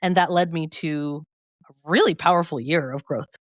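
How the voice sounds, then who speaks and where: A woman's voice: deep voice, moderate pace, no echo, clean studio sound. A woman guest in a conversational interview, reflective and upbeat, often laughing mid-sentence.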